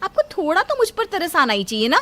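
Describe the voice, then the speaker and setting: Sharp-tongued daughter-in-law, played by a woman storyteller, high-pitched, taunting, complaining, family drama tale. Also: big pitch swings, fast, no room sound, heavily acted. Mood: scornful nagging.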